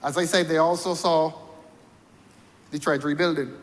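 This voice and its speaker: High-voiced Barbadian man orating in parliament. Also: steady tone, moderate pace, long reverb tail. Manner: rhetorical, repeats key phrases for emphasis. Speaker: member of parliament. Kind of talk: parliamentary debate speech. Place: parliament chamber.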